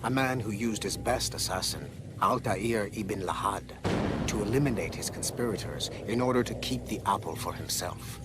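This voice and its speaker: A grave Templar man voiced by an actor lectures in a very low, breathy voice. His delivery is formal, deliberate and persuasive, as in a video game monologue. Loud noise runs underneath. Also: natural voice. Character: solemn male Templar lecturer, measured and persuasive.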